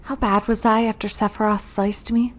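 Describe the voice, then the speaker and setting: A woman's voice: mid-range voice, level tone, moderate pace, close to an everyday voice. An actress playing a plucky, caring young woman fighter in a video game, direct and friendly. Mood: earnest. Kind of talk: dramatic video game acting.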